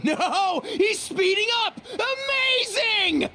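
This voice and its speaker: An actress playing an excitable, dramatic female sports commentator in a video game tournament. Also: very high-pitched, slow pace, background noise, full character voice. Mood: thrilled. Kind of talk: play-by-play commentary in English game dub.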